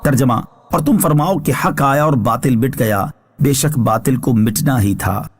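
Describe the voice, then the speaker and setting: A man giving a scripted religious narration as a scholar, explaining scripture in a measured, formal way. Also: medium pitch, slightly rough. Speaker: religious scholar reading translation and commentary.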